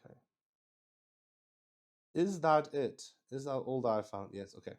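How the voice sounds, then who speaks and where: A man's voice: medium pitch, expressive, measured pace. A man narrating a solo tabletop roleplaying game casually, thinking aloud, mocking himself and swearing freely. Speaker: solo player and game master.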